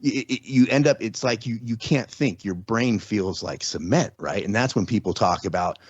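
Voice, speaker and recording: A man's voice: lowish voice, moderate pace, husky, no echo. A middle-aged man speaking as a guest in a casual interview, rambling with many restarts and tag questions. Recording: dry, dull lo-fi sound.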